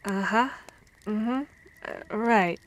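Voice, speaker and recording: A woman playing a female vampire hunter who scolds and cares for her comrade, in an expressive, stammering ASMR roleplay. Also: medium pitch, drawn-out words. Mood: softening, apologetic.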